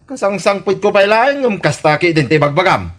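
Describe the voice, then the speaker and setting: A man's voice: high voice, sing-song, a little fast, noisy background. A man playing a boastful, defensive philandering husband in an Ilocano radio comedy drama, exclaiming and protesting dismissively.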